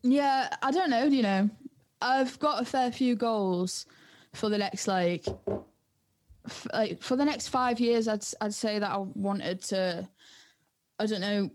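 A woman's voice: medium pitch, takes it slowly, darkish tone. A young woman from North East England, chatting informally and agreeably as a podcast guest. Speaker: podcast guest, up-and-coming music producer. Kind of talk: casual chat.

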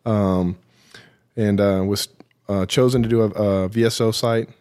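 A man being interviewed as a military veteran, telling his story haltingly with self-deprecating, dry humour. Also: lowish voice, steady tone, mellow, smooth. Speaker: podcast guest, military veteran.

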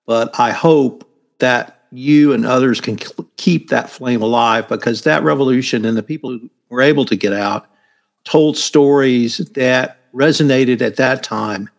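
Man, 60s, podcast host, gravelly voice, friendly questioner. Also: medium pitch, a little slow. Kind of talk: podcast interview.

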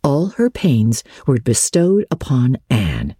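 A woman's voice: rumbling low, wildly swooping pitch, slow, dark tone, husky, no room sound, studio quality. A woman narrating classic literature as a dramatic, scripted story reading. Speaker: audiobook narrator.

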